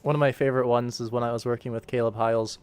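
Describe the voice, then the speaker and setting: A man's voice: mid-range voice, fairly even intonation, rapid-fire, darkish tone, clear, resonant tone. A man giving casual, musing livestream commentary as he directs animation voice work. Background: background game music.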